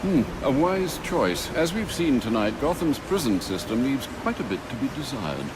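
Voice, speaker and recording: Actor doing older butler, polite n dry, lively pitch, noisy behind him. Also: male voice, normal speed, natural voice. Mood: concerned, wry. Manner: formal, courteous, dry understatement. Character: loyal older male butler, courteous, dryly wry. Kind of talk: dramatic video game acting.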